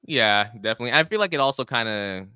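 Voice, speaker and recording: A man's voice: medium pitch, lively intonation, quick pace, pushed and bright, clear, resonant tone, no echo, clean studio sound. A man hosting a radio interview show, talking casually and rambling with many fillers.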